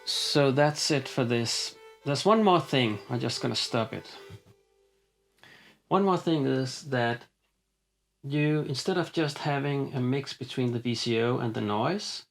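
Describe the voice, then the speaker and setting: Guy with a gravelly voice explaining his synth patch, lots of ums, faint synth music underneath. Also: medium pitch, a little slow. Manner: relaxed, explanatory, with many fillers and restarts. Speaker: synth demonstrator. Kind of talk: hands-on synth demo. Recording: synth music playing behind.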